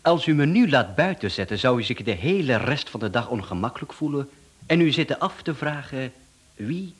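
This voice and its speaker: A mysterious male caller in a radio drama, with a Flemish accent and a husky voice. He is down on his luck, courteous yet evasive, and keeps insisting on being heard. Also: medium pitch, lively intonation, normal speed. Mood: polite, assured. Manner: polite but evasive, insistent. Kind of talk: radio play acting.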